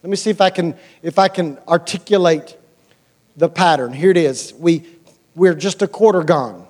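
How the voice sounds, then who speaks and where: An American male pastor delivers a sermon in a notably high-pitched voice. His manner is conversational and colloquial. He leans on repeated phrases and rhetorical questions to press each point. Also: normal speed, a little mellow. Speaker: pastor preaching to a congregation.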